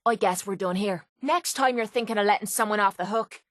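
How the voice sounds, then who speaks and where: A woman's voice: medium pitch, a little fast, a bit bright, dry recording, clean studio sound, close to an everyday voice. A woman playing a tough, cocky young brawler in a video game, with an Irish accent and a blunt, sardonic manner. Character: tough, brash, foul-mouthed young woman brawler and mercenary. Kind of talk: video game companion dialogue.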